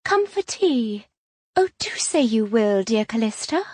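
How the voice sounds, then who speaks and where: A woman reading an audiobook, voicing an effusive young milliner who chatters in a high, sing-song voice. The recording is dry. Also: big pitch swings, a little slow, a little mellow, no room sound. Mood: gushing.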